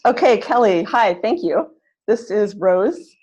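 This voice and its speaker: A woman's voice: medium pitch, big pitch swings, slow pace, slightly rough. A woman, presenting an online webinar for teachers in a friendly, instructive way. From California, USA. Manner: friendly, instructive, leads warm-up exercises. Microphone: computer mic on video call. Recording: dull lo-fi webinar audio.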